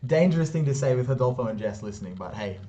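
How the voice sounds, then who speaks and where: A man's voice: medium pitch, lively intonation, fast, dark tone. A man hosting a casual online interview, laid-back and matey. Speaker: interview host. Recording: dull, slightly lo-fi call audio.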